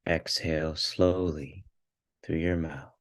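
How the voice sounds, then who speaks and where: A man leading a guided meditation, giving calm, measured instructions in short phrases with pauses between them. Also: extremely low pitch, no echo, studio quality.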